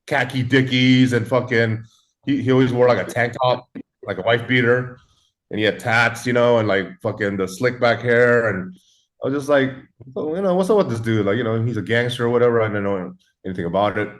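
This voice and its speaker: A man's voice: medium pitch, brisk. A man in his forties, raised in Los Angeles, telling his story as a guest in a laid-back way, full of fillers and swearing. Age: 40s. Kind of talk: casual storytelling.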